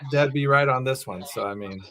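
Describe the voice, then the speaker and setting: A man's voice: medium pitch, fairly quick, crowd noise. A man co-hosting a casual watch-party livestream, easygoing and filler-heavy as he reads out chat.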